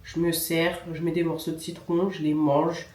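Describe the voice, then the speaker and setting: A woman's voice: sits low, little pitch movement, normal speed, far from the mic. A young woman vlogging casually with a stuffy, nasal voice from a cold, chatting along with filler sounds. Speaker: vlogger and semi-pro handball player. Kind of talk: casual vlog chat. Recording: echoey room with music behind.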